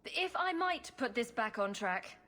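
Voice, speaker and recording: An actress playing a wry, confident female adventurer in a video game, brisk and breezy. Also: fairly high pitch, very animated, pushed and bright, full character voice. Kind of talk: video game character acting.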